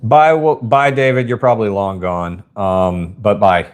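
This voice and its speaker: Rambling Southern US man, lowish voice. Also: steady tone, unhurried, smooth. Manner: rambling, folksy, says y'all and ain't. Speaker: livestream host. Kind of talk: casual live-stream chat.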